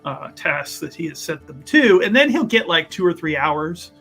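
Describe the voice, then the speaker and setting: A man playing a tabletop roleplaying game, explaining his character's doings in a halting, rambling way full of fillers and restarts. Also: a little high, sing-song, sharp tone, faint music behind. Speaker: player. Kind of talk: actual-play tabletop roleplay.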